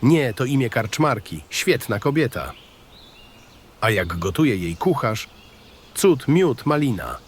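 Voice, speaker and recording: A man's voice: mid-range voice, sing-song, slow, long pauses, slight background noise. A man voicing a good-natured, apologetic writer and country host in a fantasy audio drama, folksy, polite and eager to please. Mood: cheerful, eager.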